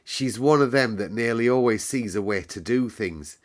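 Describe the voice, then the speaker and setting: A man's voice: mid-range voice, expressive, moderate pace, close to an everyday voice. A man voicing a cheerful, chatty young Yorkshire housemaid in broad dialect for a children's storybook. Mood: chatty, proud. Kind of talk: children's storybook read-aloud.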